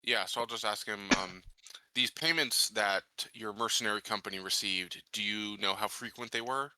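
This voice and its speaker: A man's voice: lowish voice, normal speed, sharp tone, no room sound, low-quality recording. A man playing a tabletop roleplaying game, improvising questions in character and chatting with the table.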